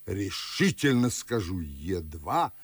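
An actor playing a pompous, garrulous older Moscow nobleman, declaiming husky-voiced in old-fashioned theatrical verse for a radio play. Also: sits low, big pitch swings, takes it slowly, no echo, heavily acted. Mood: gushing.

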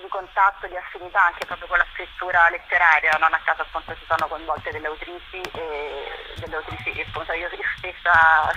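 Very sharp, bright woman, halting. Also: on the low side, highs cut off, a little hiss or hum. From Rome, Italy. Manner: hesitant, halting, searching for words. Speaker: interview guest, writer and performer. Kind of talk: casual interview talk.

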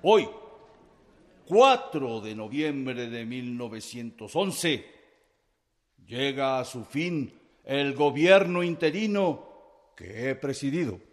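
An actor playing a formal, stern interim president giving a solemn, emphatic, measured political speech in a radio drama. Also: male voice, medium pitch, lively intonation. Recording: long echoing hall reverb.

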